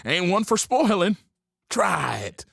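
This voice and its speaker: A man playing a gruff Western barkeep with a very husky voice that rises and falls dramatically. He is curt and needling, a guarded saloon boss in an audio drama. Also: high-pitched, sing-song, slow, fairly bright tone, no room sound, studio quality, exaggerated voice. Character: gruff, guarded male saloon owner and bartender.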